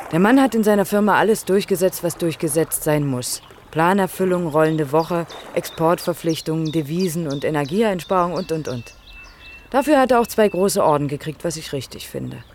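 A woman's voice: fairly low pitch, lively intonation, darkish tone, slight background noise, close to an everyday voice. An actress playing a cheeky, outspoken young woman in her early twenties, teasing and ironic, in a naturalistic German radio drama. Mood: ironic, frank. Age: young adult. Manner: teasing, ironic, quick-witted.

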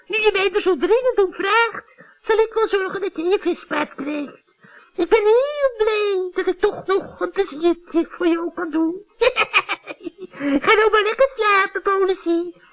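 A man voicing a sly old witch in a children's radio play, shrill, cackling and wheedling with false sweetness. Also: measured pace. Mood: gloating, falsely sweet. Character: sly old female witch, coaxing and scheming. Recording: dull 1960s radio recording.

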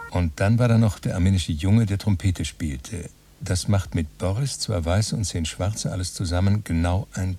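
An actor playing a dry, pedantic narrator in a literary radio play, laying out details matter-of-factly. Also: male voice, sits low, recorded from a distance. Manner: matter-of-fact, enumerating. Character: dry, pedantic male narrator who counts and reckons everything.

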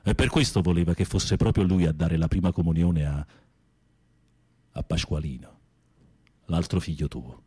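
Husky, low-voiced theatrical prisoner. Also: male voice, warm tone. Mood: probing, grave. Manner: theatrical, sardonic, with drawn-out musing. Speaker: radio drama actor. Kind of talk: Italian radio theatre acting.

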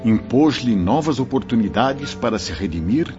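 An actor playing a wise, solemn spiritual mentor who teaches formally in a radio drama. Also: male voice, medium pitch, expressive, a little slow, natural voice. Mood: grave explaining. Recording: dull lo-fi audio, music behind. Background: music.

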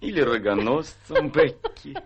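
An actor playing a worried, weary gentleman gambler husband in a radio play, glum and dryly ironic. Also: mid-range voice, lively intonation, measured pace, dull audio. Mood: dry, suspicious. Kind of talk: theatrical radio play acting.

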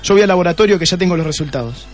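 An actor playing a shrewd, testy police detective in a radio play, with an Argentine accent and a deliberate way of questioning. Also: male voice, high voice, faint music behind, close to an everyday voice. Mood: focused, satisfied. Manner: deliberate, repeats answers back pointedly. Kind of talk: Spanish-language radio play acting.

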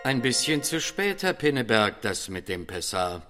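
An actor playing a curt, unyielding doctor in a radio drama. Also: male voice, medium pitch, sing-song, moderate pace, over music, close to an everyday voice. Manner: curt, matter-of-fact. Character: brusque, unyielding male doctor. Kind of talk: German radio drama acting.

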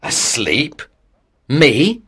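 Man playing an earnest, slow-talking teenage boy. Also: mid-range voice, huge pitch swings, a bit bright, breathy edge, full character voice. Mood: alarmed. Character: intense, restless teenage boy who refuses sleep. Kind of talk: acted dialogue in an audio story.

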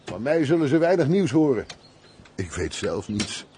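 An actor playing a loyal, wry manservant, formal and deferential, in a Dutch period radio play. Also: male voice, mid-range voice, very animated, moderate pace, mellow, natural voice. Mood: wry, guarded.

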